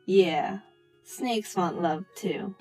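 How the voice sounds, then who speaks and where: A young woman telling a personal story for a cartoon, in a casual, animated way with wry comic asides. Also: on the low side, very slow, faint music behind, rough recording.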